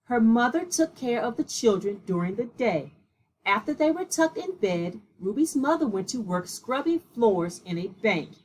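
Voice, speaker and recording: A woman with a slightly husky voice reading a children's picture book aloud in a measured, deliberate way. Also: on the high side, sing-song, a little mellow, slight background noise, low-quality recording. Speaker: read-aloud storyteller.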